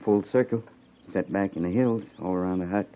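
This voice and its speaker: An actor playing a seasoned, plain-spoken cavalry sergeant in a 1950s radio western, folksy and laconic. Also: male voice, deep voice, fairly quick, close to an everyday voice. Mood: watchful. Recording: muffled, noisy 1950s radio recording.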